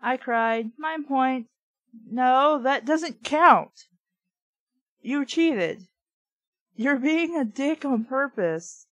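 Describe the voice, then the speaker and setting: A woman's voice: sits high, lively intonation, extremely slow, pauses often, a bit bright, no echo, poor audio. Blunt, sweary woman in a dry-sounding room. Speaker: reader and commentator of a children's book. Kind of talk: casual read-aloud with off-the-cuff commentary.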